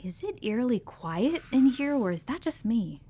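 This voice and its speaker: An actress playing a perky, unflappable nurse with a breezy, playful manner in a sci-fi audio drama. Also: female voice, fairly high pitch, sing-song, moderate pace, mellow, a little hiss or hum. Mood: puzzled. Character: perky, unflappable, blunt young woman nurse.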